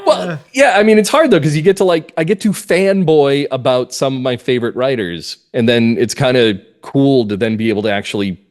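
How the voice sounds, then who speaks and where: A man's voice: medium pitch, animated, fairly quick, hardly any pauses. A man speaking as a podcast guest and playwright, chatting casually in an interview.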